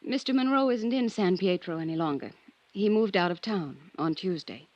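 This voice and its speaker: An actress playing a guarded, polite but evasive office secretary in a 1950s American radio drama. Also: female voice, mid-range voice, animated, moderate pace, a little mellow, no echo, natural voice. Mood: curt, evasive. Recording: dull, noisy 1950s radio recording with clicks.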